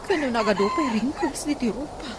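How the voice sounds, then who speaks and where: A woman's voice: a little high, lively intonation, fairly quick, natural voice. A woman playing an emotional unmarried aunt with an Ilocano accent in a radio comedy drama. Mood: subdued, self-deprecating. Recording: clear background noise, animal and bird ambience.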